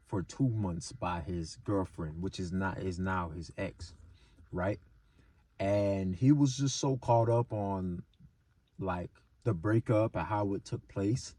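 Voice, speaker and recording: A man's voice: low-pitched, slow pace, mellow, no echo. A man hosting a video channel, telling a story and giving advice in a casual monologue, often checking in with short tag questions and repeating his points. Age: adult, older than twenty-seven.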